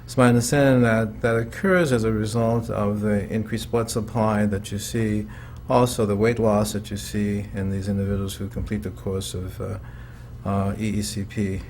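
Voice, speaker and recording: A male physician speaks in a clinical, measured way with a few fillers. His voice is a little low. Faint noise sits in the background. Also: little pitch movement, darkish tone. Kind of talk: interview commentary.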